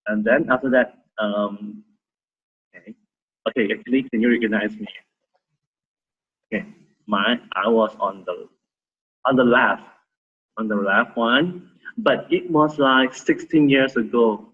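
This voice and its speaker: A man in his thirties with a Malaysian accent, sharing his story as a volunteer in a halting way with many restarts. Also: medium pitch, husky, narrow, tinny audio. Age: 30s. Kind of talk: casual testimony sharing.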